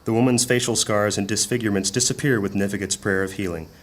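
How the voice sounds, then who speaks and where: A man's voice: fairly low pitch, fairly even intonation, quick pace, close to an everyday voice. A man narrating a scripted fantasy audio drama in a solemn storyteller's manner. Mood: measured, solemn.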